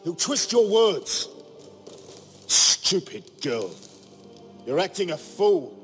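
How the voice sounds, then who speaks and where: An actor playing a contemptuous, menacing prison guard in a video game, sneering and scornful. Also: male voice, sits high, big pitch swings, slow pace, a bit bright, exaggerated voice. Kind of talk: dramatic video game dialogue. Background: music.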